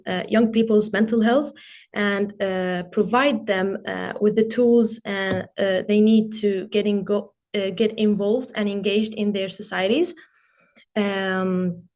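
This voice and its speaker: A young adult woman from Syria giving a prepared speech as a guest speaker, hesitant, with frequent pauses and ums. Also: medium pitch. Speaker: youth coordinator and guest speaker. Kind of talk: prepared speech at an online forum.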